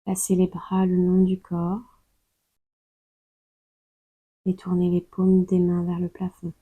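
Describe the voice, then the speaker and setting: A woman leading a guided yoga nidra meditation in a calm, soothing, unhurried way, leaving long pauses between instructions. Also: on the low side, husky, dry recording, narrow, tinny audio. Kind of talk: slow guided meditation narration.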